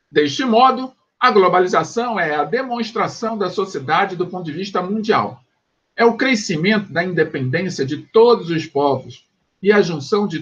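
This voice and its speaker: Brazilian guy teaching sociology online, kinda high husky voice, muffled lo-fi sound. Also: fairly bright tone. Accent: Brazilian Portuguese. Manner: explanatory, checks understanding with quick asides. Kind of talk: recorded online class lecture.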